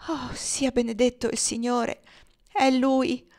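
A woman voicing a dying old man in an audiobook, gentle, feeble and devout, speaking with solemn peace. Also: medium pitch, fairly even intonation, gravelly. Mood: joyful, exalted. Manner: solemn, devout, slow-breathed exaltation.

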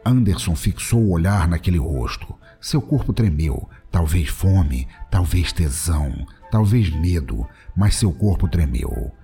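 A man narrating a scripted comedy story dramatically, with a deliberate, mock-solemn delivery. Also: low-pitched, animated, warm tone, soft background music.

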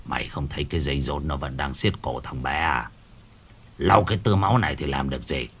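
A man voicing a gruff old male village shaman who barks curt orders in a low growl. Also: brisk. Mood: harsh, scolding.

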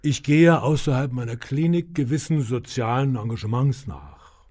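An actor in a radio drama playing a menacing, condescending clinic director who speaks deliberately and with revolutionary zeal. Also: male voice, medium pitch, animated, darkish tone, close to an everyday voice. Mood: insinuating. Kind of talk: German radio drama acting.

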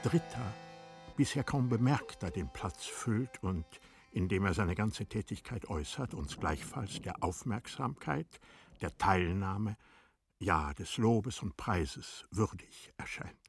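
A man reads literary narration for a radio play in a measured, formal way. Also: lowish voice, lively intonation, creaky, husky, faint music behind.

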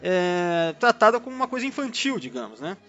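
A man's voice: high-pitched, big pitch swings, brisk, fairly bright tone. A Brazilian man co-hosting a podcast in a casual fan discussion, often checking for agreement as he talks. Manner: conversational, frequently seeking agreement with tag questions. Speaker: podcast co-host. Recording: dull lo-fi audio, music underneath. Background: background music.

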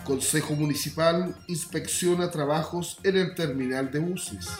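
A Chilean man reads a scripted radio bulletin as its presenter. His voice sits a little high, and it rings with clear room echo over a music bed. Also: measured pace.

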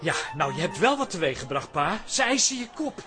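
Brash, high-voiced grown son. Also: male voice, very animated, fairly quick, music in the background. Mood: scolding. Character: brash, self-interested grown son scolding his father. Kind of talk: Dutch radio comedy-drama acting.

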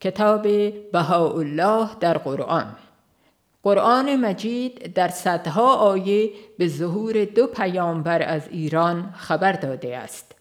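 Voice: mid-range voice, expressive. An audiobook reader, giving a scripted narration of a religious book.

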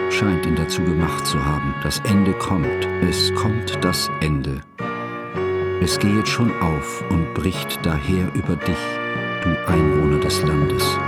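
Solemn, low-voiced scholarly narrator. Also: male voice. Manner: solemn, deliberate, ornate phrasing. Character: grave, scholarly male friend narrating a composer's tragic life. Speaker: narrator of a radio drama. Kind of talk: literary scripted narration in a radio play.

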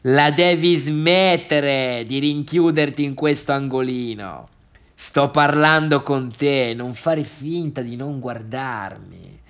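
A man's voice: mid-range voice, slow pace, fairly bright tone. A man playing a brash, foul-mouthed friend in a stage monologue, insistent and taunting, stretching phrases for emphasis. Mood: confrontational.

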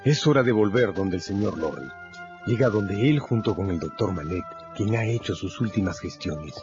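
Low-voiced man narrating a radio drama. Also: expressive, moderate pace, lo-fi sound, music in the background.

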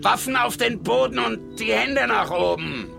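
A man's voice: very high-pitched, expressive, unhurried, vocal fry, exaggerated voice. A man playing a wary, hot-headed Western gunman in a German radio play, terse and commanding. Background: music.

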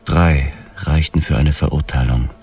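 An actor playing a haunted, hard-boiled police detective, narrating a noir radio drama in measured, clipped phrases. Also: male voice, a bass voice, fairly even intonation, darkish tone, soft background music. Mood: tense, methodical. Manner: measured, clipped, grim storytelling. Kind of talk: scripted first-person noir crime narration, radio drama.

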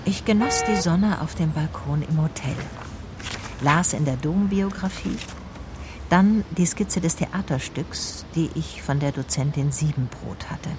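A woman's voice: low voice, unhurried, background noise. An actress playing a female private detective who narrates her case in a dry, matter-of-fact radio drama style. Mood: matter-of-fact narrating.

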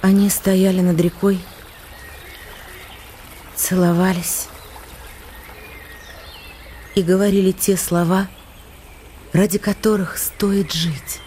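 A woman's voice: medium pitch, level tone, slow, long pauses, mellow, a little hiss or hum, lightly acted. An actress playing a tender, lovestruck young woman in a literary radio drama. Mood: tender, restrained. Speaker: narrator and actress in a radio play. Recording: studio radio play with faint music.

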